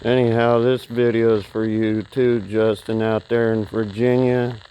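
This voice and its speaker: A man's voice: fairly low pitch, flat delivery, a little slow, warm tone, poor audio. Folksy man chatting over radio noise. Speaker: CB radio hobbyist presenting a video. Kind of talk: casual chat to viewers about the radio. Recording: clear background noise, radio static. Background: CB radio static and chatter.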